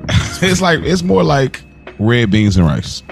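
A man's voice: medium pitch, big pitch swings, a little slow, creaky, soft background music. An American man co-hosting a podcast, chatting casually about TV in slangy, opinionated, conversational language. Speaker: podcast host. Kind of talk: casual TV review chat.

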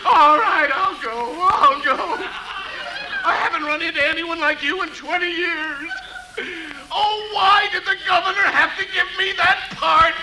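An actor playing an exasperated store clerk on the edge of hysterics in 1940s radio comedy. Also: male voice, extremely high pitch, a little slow. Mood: hysterical. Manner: whining, builds from weary patience to wailing. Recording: very noisy old radio broadcast.

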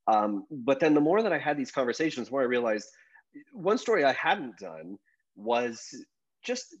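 A man's voice: on the low side, highs cut off. A man, a journalist, talking casually about his story in a rambling, self-deprecating way with lots of fillers. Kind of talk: casual podcast conversation.

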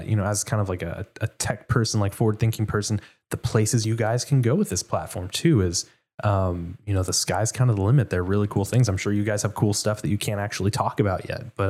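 Very low-voiced man from Texas, show host, rambling and casual. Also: a little mellow. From Houston, Texas. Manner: rambling, full of fillers. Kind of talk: casual interview chat.

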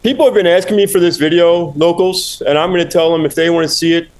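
A man from Pennsylvania Dutch country with a Pennsylvania Dutch accent, a bar owner guest talking in a laid-back, halting way. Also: fairly high pitch, low-quality recording. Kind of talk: casual podcast chat.

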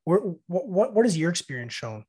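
A male interviewer with a slightly higher voice frames a question for his guest. He asks with curiosity, starting and restarting his phrasing. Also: sing-song, a little fast, dark tone, husky. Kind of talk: asking questions in a podcast interview.

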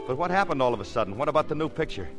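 Man playing brash doting husband, American, slangy, excitable, higher voice, vintage radio drama, music behind. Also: rapid-fire, close to an everyday voice. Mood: delighted, affectionate. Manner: breezy slangy talk.

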